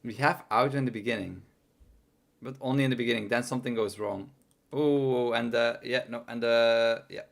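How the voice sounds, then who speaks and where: A man's voice: medium pitch, moderate pace, creaky. A young man with a worn, tiring voice streaming his programming, muttering to himself and trailing off between thoughts. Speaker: livestreaming programmer. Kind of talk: casual live coding stream.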